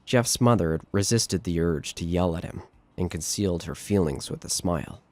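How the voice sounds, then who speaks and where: A man's voice: low voice, moderate pace, no echo. A man narrating a scripted horror story.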